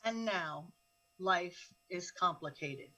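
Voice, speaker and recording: A woman's voice: lowish voice, lively intonation, slow, sharp tone, low-quality recording. A woman narrating a radio drama, reading scripted stage directions. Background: music.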